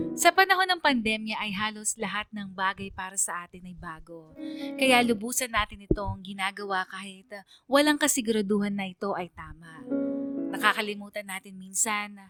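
An actress as a young woman student tells her own story in a radio drama, in a mid-pitched voice. Soft instrumental music plays behind her first-person narration. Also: natural voice. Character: young female student recounting a lesson from friendship and love.

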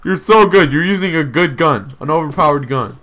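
A young man streaming a shooter game, chatting and trash-talking loudly with a friend. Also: a little high, fairly quick. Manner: loud, excitable trash talk. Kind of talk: casual gaming banter.

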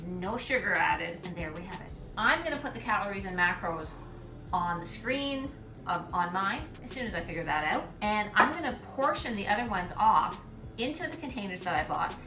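Chatty woman cooking in an echoey kitchen. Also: medium pitch. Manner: chatty, thinks aloud while cooking. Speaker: cooking vlogger. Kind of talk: casual cooking demonstration. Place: home kitchen. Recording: echoey kitchen with background music. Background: music.

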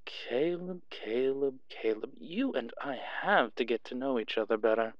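A man's voice: mid-range voice, moderate pace, dry recording, highs cut off, lightly acted. A man playing a suave, flirtatious underworld club owner in an audio roleplay, purring and teasing as he talks. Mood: flirtatious, amused.

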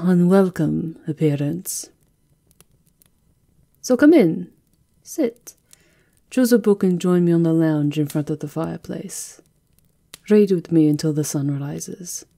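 A woman acts out an elegant female vampire countess for an ASMR roleplay. Her voice is lowish and mellow, and she speaks very slowly and intimately, with a seductive, teasing languor.

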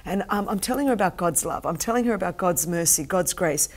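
Middle-aged woman, Greek as first language, lower voice, earnest and emphatic as a talk-show guest on a lapel mic. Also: quick pace. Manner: intense, emphatic, animated hand gestures. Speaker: guest, anti-trafficking campaigner. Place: TV studio set with sofas.

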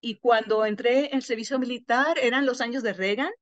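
Woman interpreter, fairly high voice, halting with fillers, dry dull video call sound. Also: dry recording. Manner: halting, with frequent pauses and fillers. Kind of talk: simultaneous interpretation.

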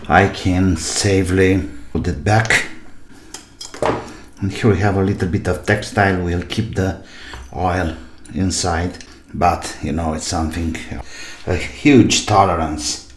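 A middle-aged man giving casual running commentary while repairing, musing aloud in short bursts and asking himself questions. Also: low voice. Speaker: hobby repairer presenting his own video. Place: small indoor home workshop at a desk. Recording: echoey room with loud noise, clicks.

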